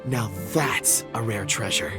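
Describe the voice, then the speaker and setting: A man's voice: medium pitch, unhurried, lightly acted. A man voicing a friendly, forgetful young rock expert in a video game, with a polite, articulate and slightly theatrical manner. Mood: admiring, eloquent. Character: friendly, forgetful, well-spoken young man who knows rocks. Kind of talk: video game character dialogue. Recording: studio voice with music behind.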